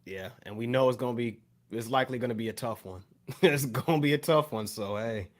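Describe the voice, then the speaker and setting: A man's voice: medium pitch, a little fast. A man on a casual hip hop panel, chiming in agreeably with short remarks. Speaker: podcast host.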